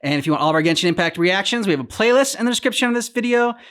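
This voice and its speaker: A woman's voice: mid-range voice, animated, rapid-fire. A woman hosting a reaction video, chatting casually about a video game as she goes.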